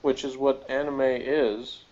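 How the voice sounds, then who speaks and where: A man's voice: mid-range voice, flat delivery, takes it slowly, crowd noise. A man co-hosting a casual TV review chat in a joking, wry way, on a muffled recording.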